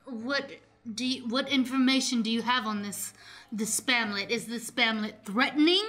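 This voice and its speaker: A woman playing a smug, menacing sorceress who talks in a deliberate, condescending way in an improvised radio play. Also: fairly high pitch, fairly bright tone, close to an everyday voice. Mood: probing, incredulous. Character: smug, menacing sorceress who speaks to shadows, elder sister.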